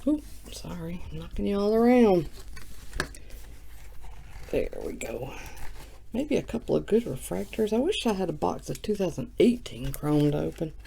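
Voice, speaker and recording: A woman who has just woken up, with a low morning voice, chatting casually while opening trading cards on camera. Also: big pitch swings, normal speed, gravelly, pauses often, warm tone, slight background noise. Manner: chatty and self-mocking, reading off card names. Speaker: card breaker. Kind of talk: casual card-opening vlog.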